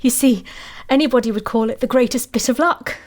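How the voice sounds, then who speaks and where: An actress playing an anxious, haunted woman antiquarian in an audio drama, telling her tale in a measured, confiding way. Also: fairly high pitch, lightly acted. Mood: brooding, intent. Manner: measured, confiding, uneasy storytelling.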